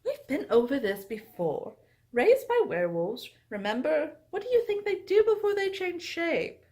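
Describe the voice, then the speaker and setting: Woman acting out a brash, shameless young woman, lively. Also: high-pitched, moderate pace, voice pushed up. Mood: exasperated, teasing. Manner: exclamatory, teasing, unabashed.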